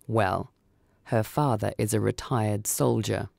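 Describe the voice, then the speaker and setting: A man voicing a calm, menacing son of Death in a story, grave, lucid and deadpan. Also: fairly low pitch, slow, vocal fry, dry recording, studio quality, heavily acted. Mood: grave, impatient.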